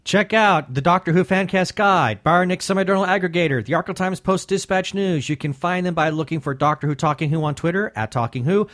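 Man, fairly high voice, podcast co-host, casual announcement reading. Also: fairly quick, few pauses, fairly bright tone. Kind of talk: casual read-out of credits and thanks.

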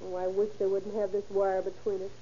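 An actress playing a devoted American convict's wife and accomplice in an old radio crime drama. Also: female voice, medium pitch, monotone, a little fast, warm tone, lightly acted. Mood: gushing, anxious. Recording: dull, noisy old radio recording.

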